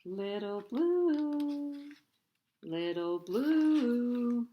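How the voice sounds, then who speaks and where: A woman voicing a gentle father whale in a storybook, patient and reassuring as he explains. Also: fairly high pitch, expressive, very slow, lo-fi sound, voice pushed up. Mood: calling.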